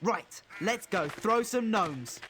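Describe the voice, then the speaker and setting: A man's voice: sits high, expressive, takes it slowly, fairly bright tone, a little hiss or hum, exaggerated voice. A man playing a cheeky, confident teenage wizard boy in a video game, jauntily giving instructions. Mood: jaunty. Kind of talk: video game cutscene acting.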